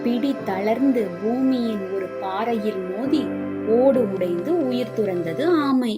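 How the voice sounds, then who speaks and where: A woman's voice: on the high side, takes it slowly, loud music behind the voice. A woman reading scripted moral stories for children as a storybook narrator.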